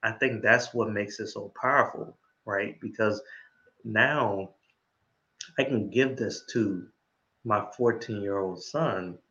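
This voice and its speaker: A man with a fairly low voice hosts an interview, sounding bright and forceful. He talks with enthusiasm and keeps tagging "right?" onto his sentences. The recording is dull and lo-fi. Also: takes it slowly. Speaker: interview host. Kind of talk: casual interview chat.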